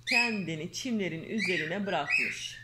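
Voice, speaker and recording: Mother reading a storybook aloud, animated. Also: on the low side, measured pace. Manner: gentle, coaxing, animated reading. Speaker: mother reading to her daughter. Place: home, indoors, bedroom. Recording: loud home background noise.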